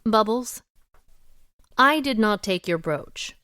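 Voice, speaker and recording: An actress playing a confident, wry amateur sleuth who questions suspects briskly and methodically in an audio drama. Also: female voice, fairly high pitch, lively intonation, sharp tone, no echo, studio quality, exaggerated voice. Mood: brisk, probing.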